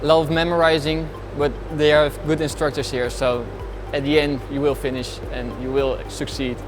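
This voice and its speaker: A man in his late twenties, from the Netherlands, talks a little high in short, simple phrases. Clear music sits under his voice. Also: little pitch movement, normal speed, poor audio. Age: 20s. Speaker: pilot trainee. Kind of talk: interview testimonial.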